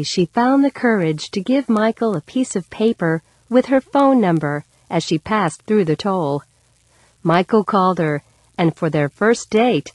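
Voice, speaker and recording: A woman reads an article aloud as scripted narration for a language course, measured and clearly articulated. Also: medium pitch, dry recording.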